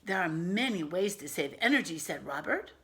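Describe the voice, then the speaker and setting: A woman reading a picture book aloud to children as a teacher, expressively and patiently, pausing to explain and ask questions. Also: lowish voice, sing-song, a bit bright. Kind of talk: animated read-aloud with simple explanatory asides.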